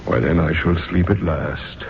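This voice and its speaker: A man's voice: rumbling low, creaky, a little hiss or hum, exaggerated voice. An actor playing a centuries-old, melancholy ghost of a nobleman in a 1970s radio drama, speaking in a grandiloquent, theatrical way. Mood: weary, yearning. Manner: grandiloquent, slow theatrical delivery. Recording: muffled, phone-like vintage radio broadcast with music.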